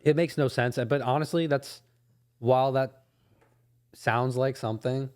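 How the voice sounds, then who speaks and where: A young man from New York, a podcast guest, telling stories in a relaxed way full of likes and ums. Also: mid-range voice, unhurried, clear, resonant tone. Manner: relaxed, filler-heavy storytelling. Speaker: guest, head grower. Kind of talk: casual chat.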